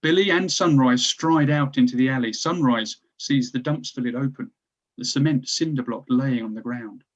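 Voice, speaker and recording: Male narrator for a table read, scene directions, average pitch, slightly dull recording. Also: moderate pace. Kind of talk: scripted narration of a screenplay.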